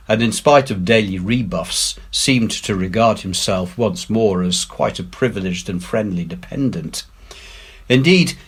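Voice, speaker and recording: Guy reading an adventure book out loud, lowish husky voice, expressive and measured. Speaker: head teacher reading aloud. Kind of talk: expressive storybook narration.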